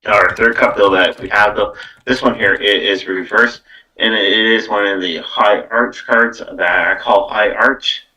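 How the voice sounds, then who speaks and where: Deep-voiced man, distant from the mic. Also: fairly even intonation, measured pace, bright, forceful tone. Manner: rambling, repeats words and tags "though" onto phrases. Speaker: psychic medium host giving live card readings. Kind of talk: casual live-stream talk and tarot reading. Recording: distant from the microphone.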